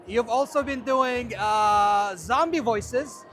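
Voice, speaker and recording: A man's voice: extremely high pitch, slow, fairly bright tone, faint music behind. An enthusiastic man from Kuwait hosting an interview, often echoing what his guest says. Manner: enthusiastic, echoes the guest's phrases. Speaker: interviewer, TV host.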